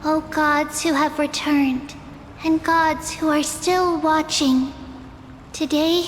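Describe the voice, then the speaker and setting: An actress playing a solemn young priestess in a fantasy game, reciting in a ceremonial, incantatory way. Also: female voice, sits high, a little hiss or hum. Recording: long hall reverb with music behind.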